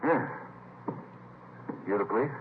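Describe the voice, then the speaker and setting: A man's voice: lowish voice, expressive, takes it slowly. A man playing a panicking father searching for his son in a vintage radio drama, urgent and insistent. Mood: alarmed, urgent. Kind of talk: 1950s radio drama acting. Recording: tinny, hissy vintage radio recording.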